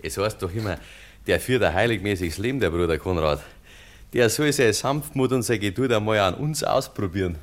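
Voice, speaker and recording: Radio drama role, male actor: bullying workhouse drifter, fairly low pitch, mocking and sneering. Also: fairly quick, lightly acted. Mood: scornful. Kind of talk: German radio drama acting.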